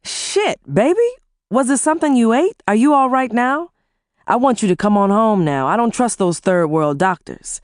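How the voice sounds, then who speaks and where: A bossy, hot-tempered husband who swears freely and scolds, as a woman puts him on. His voice sits well above the narrator's low register, pushed loud and firm, and sounds close and dry. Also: lively intonation, moderate pace, no echo, lightly acted. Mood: alarmed. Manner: gruff and profane, scolding. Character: blunt, bossy husband, adult man, quick-tempered.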